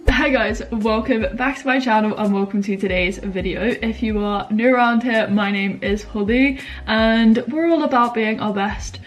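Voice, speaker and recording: A woman's voice: a little high, normal speed, faint music behind. A young British woman with a slightly nasal, stuffed-up voice from a cold, talking earnestly to her channel viewers in a chatty, motivational way with lots of fillers. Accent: British English. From United Kingdom. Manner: earnest, chatty, many "like" and "you know" fillers. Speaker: YouTube vlogger. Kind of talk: casual motivational talk to camera.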